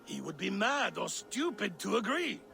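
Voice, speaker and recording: An actor playing a brash, hot-headed, brawling thunder god with blunt bluster in a video game. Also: male voice, sits high, big pitch swings, normal speed, vocal fry, bright, forceful tone, faint music behind, exaggerated voice. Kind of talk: video game cinematic acting.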